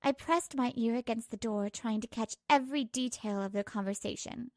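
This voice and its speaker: A woman's voice: a little high, moderate pace, no echo, clean studio sound, close to an everyday voice. A woman playing a hurt, betrayed young wife who narrates her troubles emotively in a manga dub. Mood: suspicious. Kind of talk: dramatised first-person manga dub narration.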